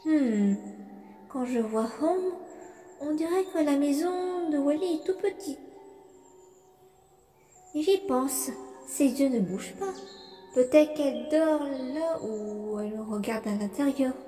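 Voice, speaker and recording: A woman plays an earnest, curious puppet boy with a high voice. The delivery is slow and wondering, in a cartoon comic-dub style, with faint music underneath. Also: expressive, mellow. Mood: wondering, quiet. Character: curious, easily bewildered young boy turned into a puppet. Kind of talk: amateur comic dub acting.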